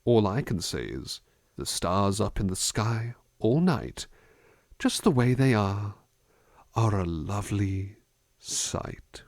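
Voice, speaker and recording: A man playing a gentle, attentive butler in an ASMR roleplay, musing in a polite, hesitant, formal way into a close microphone. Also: fairly low pitch, lively intonation, slow, no room sound. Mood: gently whimsical. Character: gentle, musing, attentive male butler looking after someone. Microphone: close dry microphone.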